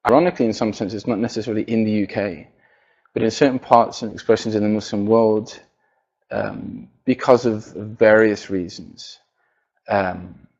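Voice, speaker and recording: A man with a quiet, fairly low voice speaks to an audience. His delivery is hesitant, full of fillers and restarts. The recording sounds dull and lo-fi. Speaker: speaker giving a talk. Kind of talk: religious lecture to an audience. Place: university hall.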